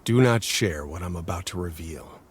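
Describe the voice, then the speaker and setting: An actor playing a cautious, solemn werewolf leader in a game cutscene, with a husky voice and a measured, guarded delivery. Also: male voice, low-pitched, animated. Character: cautious, solemn male werewolf leader sheltering his people. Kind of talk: dramatic game cutscene acting.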